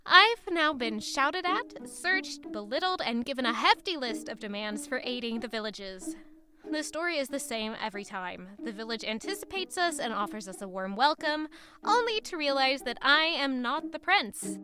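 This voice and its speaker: A woman's voice: high-pitched, lively intonation, moderate pace, music in the background. An actress playing a proud, weary traveling woman who narrates her hardships formally in a fantasy audio drama. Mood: aggrieved. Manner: formal, articulate. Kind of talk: first-person dramatic narration.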